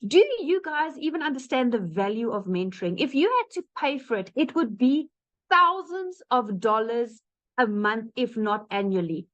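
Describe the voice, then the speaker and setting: A woman's voice: a little high, lively intonation, moderate pace, a bit bright. A woman in her late thirties with a South African accent gives an informal, earnest testimonial to an online audience, speaking directly and emphatically to listeners. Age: late 30s. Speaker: webinar speaker, digital marketer. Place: online webinar call. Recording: dull lo-fi online call audio.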